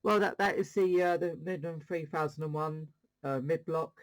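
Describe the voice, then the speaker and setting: A man's voice: on the high side, fairly even intonation, moderate pace. A man hosting a casual CB radio demonstration, rambling along and repeating his phrases. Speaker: CB radio channel host.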